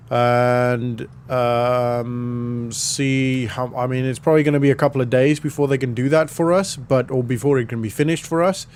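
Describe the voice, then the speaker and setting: A man with a croaky voice giving relaxed, rambling commentary on a farming game, with many fillers. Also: mid-range voice. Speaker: gaming YouTuber. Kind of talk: casual gameplay commentary.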